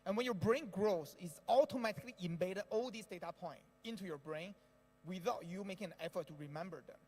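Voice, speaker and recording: High-voiced man lecturing in a reverberant hall. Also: brisk, a little mellow. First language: Indonesian. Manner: explanatory, frequent tag "right?". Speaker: speaker at an education event. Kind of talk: talk to an audience.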